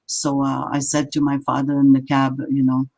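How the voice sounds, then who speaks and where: An older woman from India with an Indian accent, a native Marathi and English speaker, telling stories as an interviewed poet in a thoughtful, halting, lighthearted way. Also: very deep voice, completely flat delivery, creaky, darkish tone, rough recording. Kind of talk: reflective conversational storytelling.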